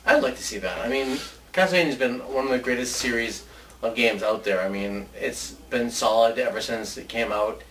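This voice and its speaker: A man's voice: medium pitch, moderate pace, a bit bright. A man co-hosting a casual chat show, sharing ideas in an informal, rambling way. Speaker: co-host of a movie ideas show. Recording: distant mic with faint background noise.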